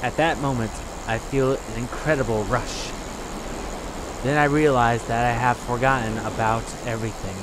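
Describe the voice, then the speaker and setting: A man's voice: medium pitch, moderate pace, noisy background. Young man reading a game aloud. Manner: reads text in a flat steady voice, breaks into laughter. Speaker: let's play host. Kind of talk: casual gameplay chat, reading game text aloud.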